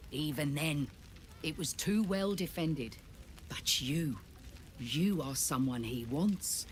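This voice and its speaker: Stern female hunter chieftain, low voice, slow solemn commands, fantasy game, noisy ambience behind. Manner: formal, grave, deliberate delivery. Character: stern grieving monster-hunter leader, a woman, solemn and commanding. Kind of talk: video game dramatic acting.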